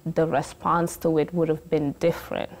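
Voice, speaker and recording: A woman's voice: low voice, little pitch movement, moderate pace, a little mellow. A young woman speaking sincerely and reflectively in an outdoor street interview. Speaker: community organizer. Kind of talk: interview answers. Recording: faint street noise.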